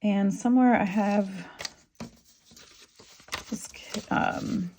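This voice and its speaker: A middle-aged woman with a sore throat whose voice keeps cutting in and out, talking through a craft tutorial in a relaxed, halting way with lots of ums. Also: medium pitch, background noise. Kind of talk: casual craft tutorial narration.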